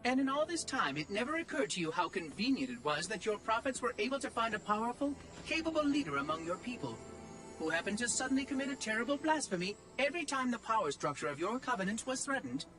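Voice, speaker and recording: A high, very animated artificial mind from a video game. It is fussy and verbose, with prim, condescending diction and a sly edge. Music plays clearly behind it. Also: male voice, fast, low-quality recording. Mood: slyly probing. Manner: precise, prim, patronising phrasing. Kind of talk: video game cutscene acting.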